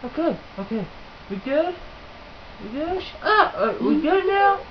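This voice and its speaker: Woman for amateur puppet comedy: theatrical, foul-mouthed, very lively pitch, dull noisy recording. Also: a little slow. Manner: profane, theatrical, repetitive. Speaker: amateur puppet show performer. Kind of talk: improvised puppet skit, crude playful banter. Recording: muffled, noisy home video.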